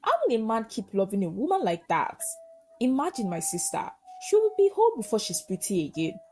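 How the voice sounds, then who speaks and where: A woman storyteller puts on a cruel, amused village gossip from a folktale. Her talk is scornful and mocking, with very animated intonation. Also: a little high, brisk, a little hiss or hum.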